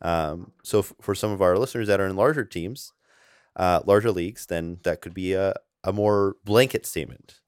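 A man's voice: deep voice, normal speed, no room sound, studio quality. A man co-hosting a casual fantasy hockey podcast, rambling with lots of fillers and restarts. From half Japanese. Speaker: podcast co-host.

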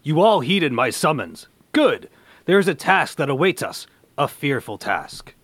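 A man's voice: a little high, big pitch swings, measured pace, fairly bright tone, slightly rough, dry recording, heavily acted. A man playing a commanding, earnest scientist who leads a superhero team, speaking in melodramatic declarations in a radio play. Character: commanding, earnest heroic scientist leader of comic-book team.

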